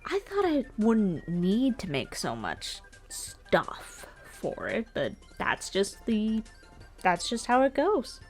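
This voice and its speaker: A woman narrating her own art video as a casual commentary, informal and lightly self-deprecating, with a joking touch. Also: medium pitch. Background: music.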